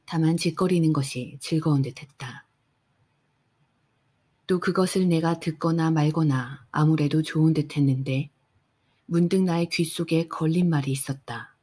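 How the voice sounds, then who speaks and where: A woman narrating a bedtime audiobook in a soft, unhurried way. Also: low voice, steady tone, slightly rough. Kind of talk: calm bedtime audiobook reading.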